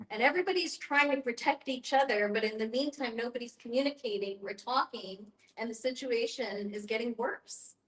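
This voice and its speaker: A woman speaking as a panelist in a conversational group discussion, agreeing and explaining. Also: on the high side. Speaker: panelist at a discussion on end-of-life planning. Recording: roomy echo.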